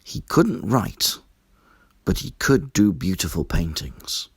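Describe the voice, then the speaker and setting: Slow, expressive male storyteller, slightly husky. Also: sits low, dry recording, clean studio sound. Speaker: storybook reader. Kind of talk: scripted children's story narration.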